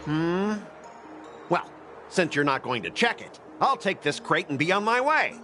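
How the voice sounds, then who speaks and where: A man's voice: a little high, very animated, a little slow, bright, forceful tone, faint music behind, heavily acted. A man playing an irritable, blustering middle-aged corporate cargo trader in a video game, exasperated and sarcastic in a theatrical way. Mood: suspicious, smug.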